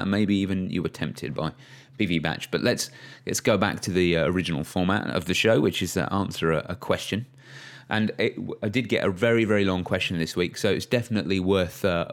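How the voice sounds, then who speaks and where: A man hosting a cricket podcast, talking casually with plenty of fillers and restarts. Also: sits low. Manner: relaxed, hesitant with fillers and restarts. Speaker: podcast host. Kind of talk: casual chat.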